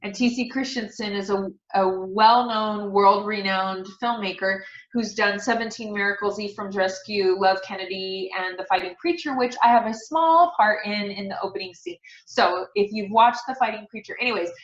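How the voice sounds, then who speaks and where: A woman's voice: medium pitch, normal speed, hardly any pauses. Middle-aged female guest, sincere and faith-minded storyteller in a casual interview; dull, echoey recording. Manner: earnest, devout, personal. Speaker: interview guest, author and speaker. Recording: dull, roomy sound.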